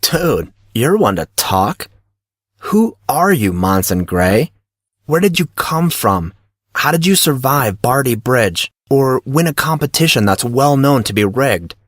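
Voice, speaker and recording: A man's voice: mid-range voice, big pitch swings, a little slow, dry recording, clean studio sound. A man playing a cocky, breezy teenage schoolboy who talks in slangy, self-assured teasing for an audio drama. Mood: defensive. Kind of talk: audio drama character reading.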